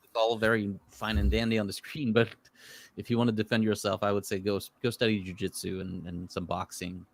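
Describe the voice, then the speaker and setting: Low-voiced Hebrew-native man chatting. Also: a little fast. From Israel. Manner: relaxed, rambling storyteller with frequent fillers. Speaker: guest. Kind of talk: casual chat.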